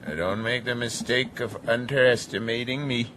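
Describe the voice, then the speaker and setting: Man acting a forceful, domineering sea captain. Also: mid-range voice, fairly even intonation, normal speed, natural voice. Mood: sardonic. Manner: commanding, sardonic. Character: domineering, defiant, menacing male sea captain. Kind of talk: radio drama acting. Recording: faint sea and boat noise behind.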